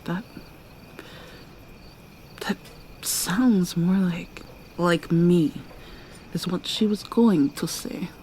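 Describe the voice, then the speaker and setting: A woman voicing a seductive, menacing vampire mermaid villainess in an ASMR roleplay, taunting and purring with sudden high swoops. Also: extremely slow, long pauses, dark tone, a little hiss or hum, poor audio.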